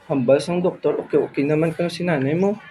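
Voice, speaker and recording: A woman playing a gentle father with a Visayan Filipino accent in an amateur radio drama. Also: low-pitched, a little fast, close to an everyday voice. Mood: grave, reassuring. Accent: Filipino, Western Visayas. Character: gentle father breaking news to his child. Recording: faint background noise.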